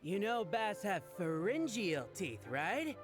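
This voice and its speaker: Game role: earnest male fishmonger, salesmanlike, very high animated voice, music clearly behind. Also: takes it slowly, full character voice. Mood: baffled. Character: eager, exasperated male fishmonger hawking fresh seafood. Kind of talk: video game voice acting.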